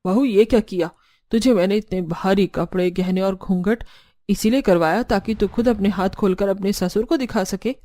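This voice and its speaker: A woman's voice: mid-range voice, moderate pace, warm tone, voice pushed down, natural voice. A woman playing a stern older Indian mother-in-law who scolds and bosses in a cartoon story. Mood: scolding. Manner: scolding, bossy. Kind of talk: Hindi moral cartoon story acting.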